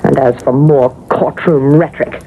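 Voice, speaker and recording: Vain ghostly beauty on tinny old radio. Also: female voice, rumbling low, fairly even intonation, normal speed. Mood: indignant pride. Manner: haughty, theatrical, teasing. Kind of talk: radio drama acting. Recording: noisy, narrow-band vintage radio broadcast.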